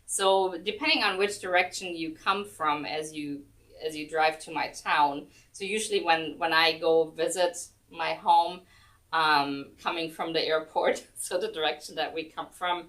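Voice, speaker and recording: Low-voiced woman telling stories. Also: steady tone, normal speed, a bit bright, recorded from a distance. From Germany. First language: German. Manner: chatty, rambling, many ums and restarts. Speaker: guest, chair yoga instructor. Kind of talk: casual storytelling interview. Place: senior center room.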